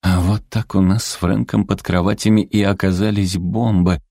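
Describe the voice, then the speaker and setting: A man's voice: low voice, little pitch movement, normal speed, gravelly, dark tone, no room sound, studio quality. A man reading the part of a tough, protective woman marshal in an audiobook, blunt and incredulous. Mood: accusing. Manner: blunt, challenging.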